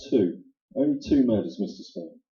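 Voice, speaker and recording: Man playing Cairo, a very dark-voiced fussy crook. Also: medium pitch, big pitch swings, moderate pace, creaky. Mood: fussy, needling. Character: fussy, nervous, spiteful male crook. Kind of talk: staged radio play acting, 1940s noir. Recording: roomy, dull live stage recording.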